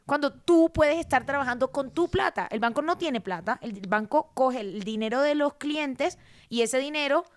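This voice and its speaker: A woman, explaining personal finance in a casual, animated conversation and repeating words for emphasis. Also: high-pitched, normal speed. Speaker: guest.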